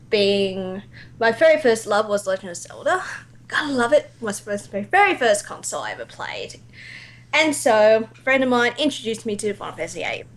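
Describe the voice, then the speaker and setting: Higher-pitched young Australian woman, chatty storyteller. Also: a bit bright. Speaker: amateur voice actor, interview guest. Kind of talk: casual anecdotal chat.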